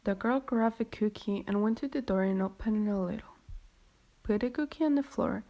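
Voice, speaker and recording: A woman's voice: mid-range voice, normal speed, muffled recording. A woman giving scripted storytelling narration for a radio drama.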